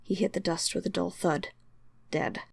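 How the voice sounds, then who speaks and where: A woman's voice: on the low side, fairly even intonation, normal speed, vocal fry, husky, dry recording, studio quality. A woman giving a dramatic scripted narration as she reads a story. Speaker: story reader.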